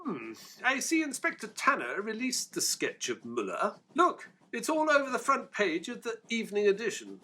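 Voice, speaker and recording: A man playing an earnest, excitable Victorian gentleman, a detective's companion and narrator, in an audio drama. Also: sits high, moderate pace, few pauses, a little hiss or hum, heavily acted. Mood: exasperated.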